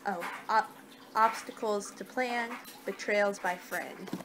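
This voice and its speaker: A young woman doing an ASMR card-reading role-play with a halting, unhurried delivery. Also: medium pitch. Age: young adult. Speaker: ASMR performer in a fortune-teller role-play. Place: small home room, indoors. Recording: noisy amateur webcam-style room recording.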